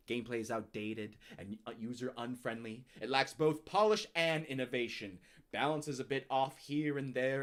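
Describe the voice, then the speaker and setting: A man giving a dramatic, grandiose reading of scripted text, with emphatic swells and drops in his delivery. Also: medium pitch. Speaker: narrator reading out a user review.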